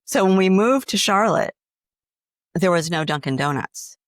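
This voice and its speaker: A woman's voice: fairly low pitch, animated, measured pace, no room sound, studio quality. A middle-aged woman from Boston with a slight Boston accent, chatting as a grief coach on a podcast and animatedly acting out inner voices. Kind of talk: casual podcast conversation.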